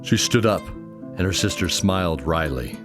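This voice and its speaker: A man's voice: low voice, animated, moderate pace, over music. A man narrating a scripted fantasy audio drama.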